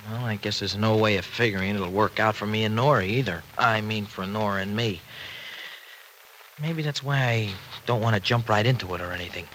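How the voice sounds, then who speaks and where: An actor in a vintage American radio drama playing a rough-edged, soft-hearted regular guy with a slangy, hesitant American way of talking. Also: fairly low pitch, fairly quick, lightly acted. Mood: earnest, regretful. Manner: slangy, hesitant, plain-spoken. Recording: noisy old radio show recording.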